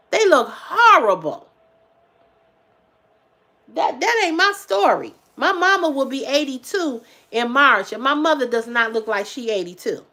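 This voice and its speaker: A woman in her fifties hosting a casual livestream, talking emphatically to her viewers, repeating herself for effect, swearing freely and swinging between loud exclamations and low asides. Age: 50s. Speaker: livestream host.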